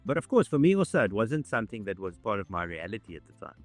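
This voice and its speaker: A man in his sixties with a lowish voice tells his story in a measured, unhurried way. The sound is dry and close, with faint music under it. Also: very animated, dry recording. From South Africa. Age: 60s. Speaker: interviewee. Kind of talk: reflective storytelling.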